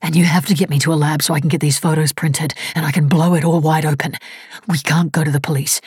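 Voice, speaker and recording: A woman narrator voicing an injured, hunted man who talks in a hoarse, urgent, pleading rush. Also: on the high side, steady tone, fast talker, no room sound, studio quality. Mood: frantic urging. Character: injured hunted young man, frantic and desperate.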